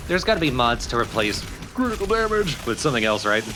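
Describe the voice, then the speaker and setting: A man's voice: medium pitch, big pitch swings, fast, bright, forceful tone, a little hiss or hum. A man chatting casually as a co-commentator on a video game, trading banter with friends.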